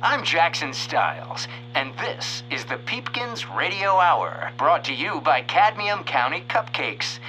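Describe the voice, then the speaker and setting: An actor playing a folksy, theatrical small-town radio host with showy, deadpan comic patter. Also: male voice, on the high side, unhurried, hardly any pauses, faint music behind, full character voice, rough recording. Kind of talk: old-time radio hosting, comic scripted narration.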